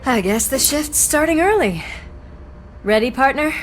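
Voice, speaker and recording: A woman's voice: sits high, animated, measured pace, faint music behind. A woman acting a supportive, teasing police partner in a video game, informal and wry. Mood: ready, informal.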